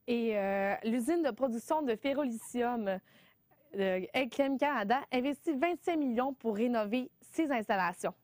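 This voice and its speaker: A woman anchoring a local newscast, reading her script in a measured, smooth way. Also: fairly high pitch, animated, darkish tone, no room sound. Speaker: news anchor.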